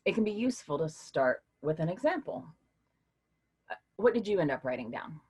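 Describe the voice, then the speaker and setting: Brief: actress as a husky, low-voiced woman professor, patient and questioning, lively delivery. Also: moderate pace, heavily acted. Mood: teacherly. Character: woman college philosophy professor, encouraging and patient. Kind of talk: staged play reading.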